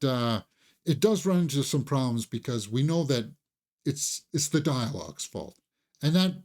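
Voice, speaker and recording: A man hosts a casual film review in a conversational, fan-like way. His pitch moves around a lot, rising and dropping as he makes his points. He sounds like an enthusiast talking straight to viewers. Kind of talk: casual video movie review.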